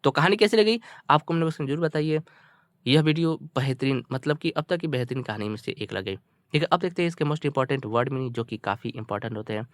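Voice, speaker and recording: A man's voice: mid-range voice, a little fast, dry recording, studio quality. A man with an Indian accent teaching through a story, explaining phrase by phrase with repetition and checking questions. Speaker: English teacher. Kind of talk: bilingual storytelling lesson, translating line by line.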